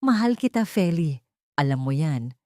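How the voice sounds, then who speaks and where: A woman's voice: low voice, sing-song, normal speed, mellow, dry recording, clean studio sound. A woman storyteller voicing a disgraced executive who pleads desperately with his former lover in a dramatic romance tale. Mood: pleading. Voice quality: cracked, trembling. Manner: pleading, imploring.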